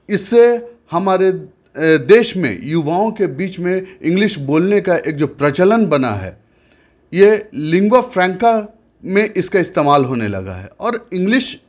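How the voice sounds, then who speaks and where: Male news reporter, formal. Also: high-pitched. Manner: formal, with frequent hesitations when speaking off script. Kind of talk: news narration then interview.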